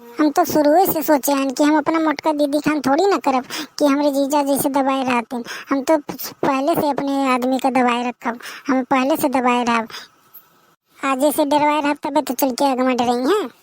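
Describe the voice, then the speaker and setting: A woman's voice: high-pitched, fairly even intonation, normal speed, dry recording, lightly acted, low-quality recording. A woman with a rural Awadhi accent voicing garrulous, boastful village women in a bantering matchmaking comedy cartoon. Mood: cheeky. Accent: Awadhi, rural northern India.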